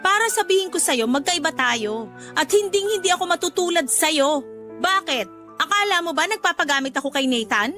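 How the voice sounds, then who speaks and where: High-voiced emotional Filipino daughter. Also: female voice, lively intonation, normal speed, pushed and bright, soft background music, exaggerated voice. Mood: angry, defiant. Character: defiant young adult daughter, independent, emotional, later remorseful. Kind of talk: Filipino radio drama acting.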